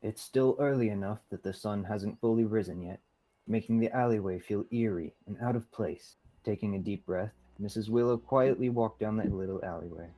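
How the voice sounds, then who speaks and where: A man's voice: on the low side, normal speed, dark tone, low-quality recording. A young man reading scripted narration for a radio theatre play. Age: young adult.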